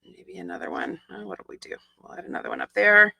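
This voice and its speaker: A middle-aged woman with a croaky, hoarse voice, chatting casually as she demonstrates a stamping and card-making project step by step. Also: medium pitch, big pitch swings, quick pace, sharp tone, no echo. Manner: chatty, explains each step with asides and small laughs. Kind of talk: casual live craft tutorial.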